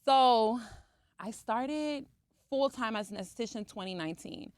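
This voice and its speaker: A woman's voice: on the high side, lively intonation, moderate pace, darkish tone, no room sound. Chatty woman, close dry sound. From Cuba, living in the US since age three. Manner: chatty, often checks in with "right?". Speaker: interview guest, esthetician and skincare brand owner. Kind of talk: conversational podcast interview.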